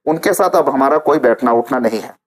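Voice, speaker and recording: A man's voice: mid-range voice, brisk. A man presenting informal news commentary to viewers, repeating phrases for emphasis.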